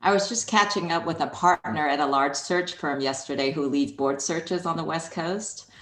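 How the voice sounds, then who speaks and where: A woman from Hong Kong whose first language is Chinese, answering interview questions in a measured, composed way. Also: low voice, fairly bright tone, reverberant. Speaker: interview guest, HR executive.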